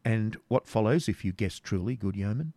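A dignified storybook knight, voiced by a male reader, courteous yet wary. His speech is formal and archaic, gravelly, in a dry recording. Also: on the low side, animated, warm tone, no echo. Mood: courteous, guarded. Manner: measured, formal archaic English.

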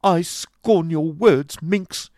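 Man putting on a high, huffy young woman. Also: drawn-out words, darkish tone, dry recording, clean studio sound. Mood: indignant, scornful. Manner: scornful, huffy, indignant. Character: spiteful, haughty young woman, easily wounded. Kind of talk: dramatised novel reading.

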